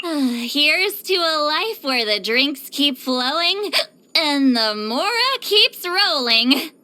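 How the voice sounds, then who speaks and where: A woman's voice: high voice, big pitch swings, slow, sharp tone, full character voice. A woman voicing a proud but easily flustered shaman master in an anime-style video game, with haughty poise that slips into awkward defensiveness. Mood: relaxed.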